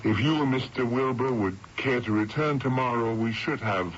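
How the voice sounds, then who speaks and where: An actor playing a grave, puzzled hospital doctor in a radio drama, speaking formally and earnestly. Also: male voice, medium pitch, normal speed, natural voice. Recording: dull, noisy old radio broadcast.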